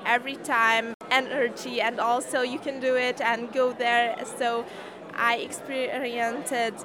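A woman's voice: a little high, little pitch movement, measured pace. A young woman from Hungary, an English speaker, answering interview questions. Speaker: science competition participant being interviewed. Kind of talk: street-style interview answers. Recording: busy crowd chatter behind the voice. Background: crowd chatter.

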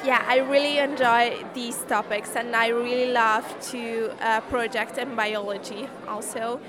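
A woman's voice: fairly high pitch, steady tone, measured pace. Teen girl amid crowd chatter. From Hungary. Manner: hesitant, many fillers and restarts. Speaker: student presenting a science project. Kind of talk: casual interview. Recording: crowd noise behind.

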